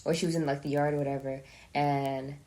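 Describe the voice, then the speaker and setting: A young woman with a slightly low voice chats casually with her mother. Her speech is loose and slangy, and she keeps the talk going with easy questions. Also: level tone, brisk, mellow. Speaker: co-host, daughter. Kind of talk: casual chat.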